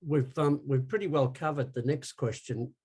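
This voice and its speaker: A man with a husky, mid-pitched voice co-hosts a casual interview. He sounds a bit hesitant as he talks. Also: moderate pace, darkish tone. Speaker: interview co-host.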